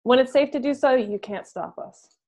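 A young woman chatting casually as a guest, in an informal, halting way. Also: high-pitched, a little mellow. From Canada. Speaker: band musician, interview guest. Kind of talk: casual chat. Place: home room, video call. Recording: dull lo-fi video-call audio.

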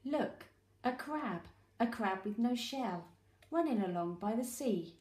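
British female storyteller for young children, slow and expressive, recorded in an echoey room. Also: on the high side. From United Kingdom. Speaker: early years teacher reading aloud.

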